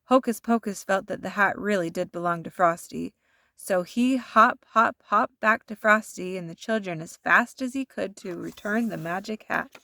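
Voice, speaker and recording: A woman narrating a children's storybook aloud in an expressive, deliberate storytelling manner. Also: medium pitch, creaky, no room sound, clean studio sound.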